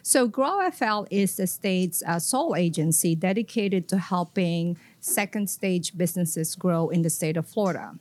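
A woman's voice: lowish voice, lively intonation, normal speed, hardly any pauses, a little mellow. A middle-aged woman from the Philippines with a Filipino accent, answering interview questions in an upbeat, articulate way. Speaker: guest, economic development executive.

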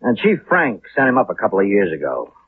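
An actor playing a slick, cynical American city attorney in a 1950s radio drama, speaking in a wry, glib, knowing way. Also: male voice, on the low side, wildly swooping pitch, fast talker. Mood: smooth, matter-of-fact. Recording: tinny, noisy 1950s radio recording.

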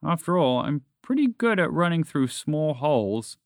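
An earnest storybook moose, played by a man, with a very lively, slightly raised voice. He questions and argues, doubtful and easily irritated. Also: a little high, normal speed, dry recording, clean studio sound, voice pushed up. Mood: hopeful.

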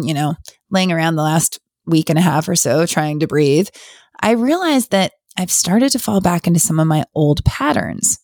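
A middle-aged woman hosting her own podcast, talking in an open, confessional, conversational way, her voice slightly hoarse. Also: on the low side, darkish tone, dry recording, clean studio sound. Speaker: podcast host. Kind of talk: casual solo podcast talk.

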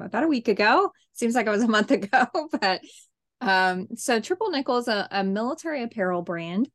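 A woman hosts a podcast interview in a voice of middling pitch. She is friendly and chatty and laughs easily as she asks her questions. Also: gravelly. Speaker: podcast host. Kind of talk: casual interview.

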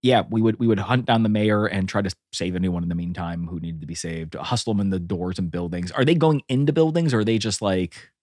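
A man playing a tabletop role-playing game, thinking out loud in casual, halting talk. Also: low voice, no echo, studio quality. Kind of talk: casual improvised table chat.

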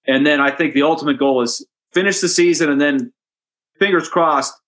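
A hockey play-by-play announcer answering interview questions in a relaxed, anecdotal way, chatty and amused. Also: male voice, a little high, moderate pace. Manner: chatty storyteller, amused, with restarts and asides.

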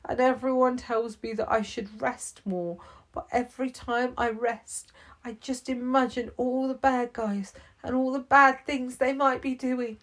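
A woman voicing an eager, exhausted young police officer who talks in a high, strained, pleading way.

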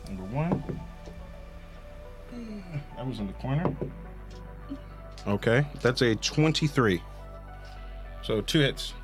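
A man's voice: mid-range voice, expressive, takes it slowly, long pauses, music in the background. A man talking casually as a player in a tabletop roleplaying game, in a loose, jokey manner.

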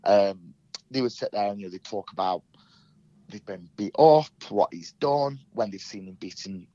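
A man's voice: fairly low pitch, normal speed, warm tone, no room sound, highs cut off. A young man from England with a cold, sounding nasal, telling his story in an interview with many ums and restarts. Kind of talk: casual recounting in an interview.

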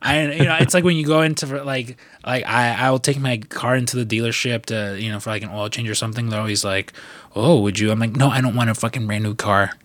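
A man's voice: medium pitch, fairly even intonation, quick pace, fairly bright tone, no echo. A man hosting a casual podcast, talking loosely with lots of swearing and fillers, still a bit congested with the sniffles. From US Midwest. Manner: loose and profane, rambling with many fillers. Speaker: podcast host. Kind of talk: casual chat.

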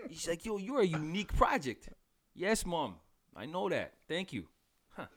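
A man's voice: sits high, huge pitch swings, unhurried. A man co-hosting a podcast with slangy, profane, teasing banter among friends. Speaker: podcast co-host.

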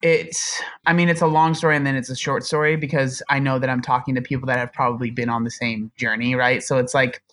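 A man's voice: medium pitch, flat delivery, quick pace, hardly any pauses, fairly bright tone. Chatty, self-deprecating young man. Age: young adult. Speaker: podcast guest. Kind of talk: casual storytelling interview.

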